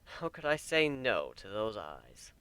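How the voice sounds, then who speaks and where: A man voicing a bashful, gentle male pony husband with a sheepish, hesitant manner in a storybook reading. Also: fairly low pitch, sing-song, unhurried, smooth, dry recording. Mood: wry, fond.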